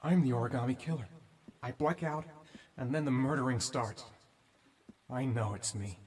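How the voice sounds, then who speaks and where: An actor playing a troubled, haunted father in a dark thriller video game, brooding in inner monologue. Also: mid-range voice, normal speed, lightly acted. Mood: anguished, confused.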